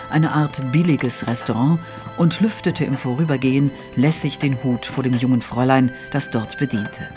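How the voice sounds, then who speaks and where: A man narrating a literary audio drama in a measured storytelling style. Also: medium pitch. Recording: music under the voice. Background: music.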